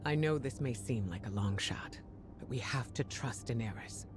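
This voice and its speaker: A man's voice: medium pitch, moderate pace, noisy background, lightly acted. A man voicing a grave, steadfast ally who gives a solemn, earnest mission briefing in a video game. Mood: earnest resolve. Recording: studio voice under prominent music.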